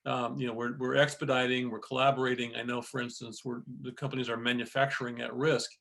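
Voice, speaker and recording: Halting man with a creaky voice. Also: mid-range voice, little pitch movement, fairly bright tone. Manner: halting, repeats words as he searches for phrasing. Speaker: moderator, chief executive of a trade council. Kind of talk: moderated discussion, conversational.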